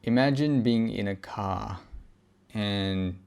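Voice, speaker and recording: A man recording a reflective personal audio log, speaking haltingly as he searches for words and often pauses mid-thought. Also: lowish voice, creaky, darkish tone, clean tone. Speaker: podcast host.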